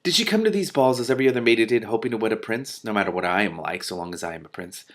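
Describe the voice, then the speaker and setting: An earnest young prince, as a man reads him from a storybook. He is gallant and courteous, easily embarrassed, and grows halting when flustered. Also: lowish voice, expressive, a bit bright. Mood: musing.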